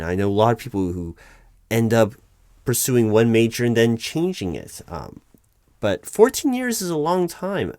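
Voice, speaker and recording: A patient, slightly baffled corporate recruiter, played by a man in an audio roleplay interview. His voice sits on the low side, recorded close and dry. He stammers and restarts as he picks diplomatic words. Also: a little mellow, no room sound. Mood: diplomatic, puzzled. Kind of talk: one-sided spoken ASMR roleplay interview.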